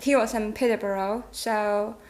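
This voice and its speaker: A woman's voice: medium pitch, fairly even intonation, moderate pace, a little mellow. A thirty-year-old woman from Taiwan with a Taiwanese accent, a native Mandarin speaker, hosting a casual vlog chat in a giggly, hesitant, conversational way. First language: Mandarin Chinese. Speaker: vlog host.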